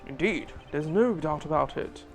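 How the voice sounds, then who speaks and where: A man's voice: a little high, animated, moderate pace, faint music behind. A man voicing a confident young male defense lawyer in a fan dub of a courtroom game, building his case in a methodical, pointed way.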